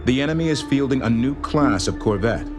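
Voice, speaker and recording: An actor playing a calm, authoritative fleet intelligence officer in a sci-fi military game, speaking in clipped, formal briefings. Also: male voice, medium pitch, fairly quick, lightly acted. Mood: measured, serious. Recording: game audio under loud music. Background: loud music.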